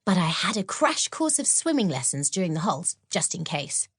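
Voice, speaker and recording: A woman's voice: on the low side, very animated, moderate pace, breathy edge, no echo, studio quality, full character voice. A woman voicing an earnest, accident-prone young schoolgirl witch who confides sheepishly, in a children's audiobook. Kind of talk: children's audiobook character acting.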